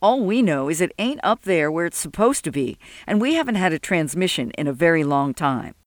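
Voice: medium pitch, sing-song, a little fast, dry recording, close to an everyday voice. Narrator doing folksy plain talking guy in an audiobook, matter of fact, dry close recording. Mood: blunt. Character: plain-spoken, folksy male companion.

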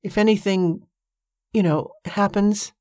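Supportive, rueful friend, halting delivery, voiced by a woman. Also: mid-range voice, fairly even intonation, warm tone, no room sound, studio quality, pitched above the performer's own voice, close to an everyday voice.

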